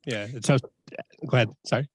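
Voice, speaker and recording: A middle-aged man hosts an interview from a studio, speaking fast and eagerly into a close microphone. The sound is dry with no room echo, and he trips over his words as he rushes ahead. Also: medium pitch, breathy edge. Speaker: podcast host. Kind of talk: casual interview. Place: radio studio, indoors.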